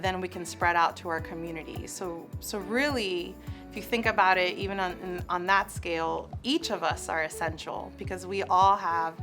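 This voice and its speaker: Woman thinking out loud in an interview answer, lowish voice, music playing behind her. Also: steady tone, normal speed. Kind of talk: reflective spoken interview answer.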